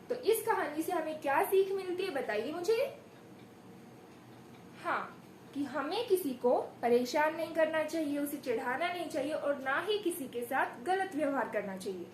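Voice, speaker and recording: A woman's voice: high-pitched, lively intonation, measured pace, long pauses. A woman giving a demonstration lesson as a primary school teacher, expressively telling a story and prompting pupils with questions and praise. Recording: echoey room with faint background noise.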